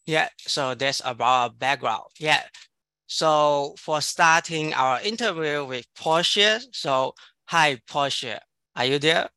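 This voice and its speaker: A man's voice: fairly high pitch, slow pace, sharp tone, smooth, dry recording. A man co-chairing a committee, moderating an online interview in a hesitant way, full of "like" and "kind of". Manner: hesitant, many "like" and "kind of" fillers.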